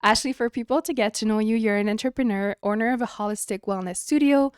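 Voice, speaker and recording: Clear-spoken woman hosting a podcast. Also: a little high, fast talker. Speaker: podcast host. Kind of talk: scripted podcast intro, casual interview chat.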